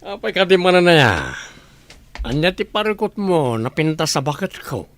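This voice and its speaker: Man doing cheeky lazy husband in comedy radio play, sharp voice, swoops around. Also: a little high, big pitch swings, normal speed. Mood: brazen, teasing. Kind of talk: Ilocano comedy radio drama acting.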